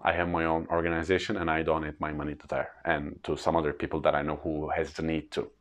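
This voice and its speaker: A man's voice: rumbling low, flat delivery, quick pace. A man in his thirties with a Turkish accent, explaining personal finance in an earnest, plain way to camera. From Turkey. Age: 30s. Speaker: freelance trainer.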